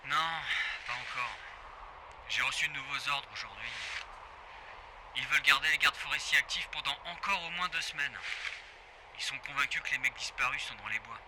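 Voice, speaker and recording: A tense forest ranger played by a male actor in an audio drama. He is urgent and protective, stumbling over his words and swearing, in a mid-pitched voice with some noise behind it. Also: normal speed, pauses often, natural voice. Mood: weary, calm. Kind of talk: naturalistic French audio drama acting. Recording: background noise under the voice.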